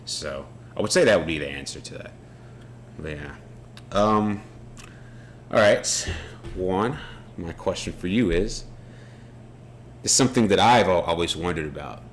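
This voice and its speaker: A man co-hosting a podcast, talking in a relaxed, reflective way with some halting restarts. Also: sits low. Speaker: podcast co-host. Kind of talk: casual unscripted conversation. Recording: faint background noise.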